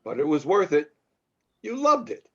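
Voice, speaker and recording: A man's voice: sits high, huge pitch swings, moderate pace, pushed and bright, breathy edge, full character voice. A man playing an entitled, menacing Hollywood producer in a drama table read. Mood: needling. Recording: dull lo-fi microphone sound.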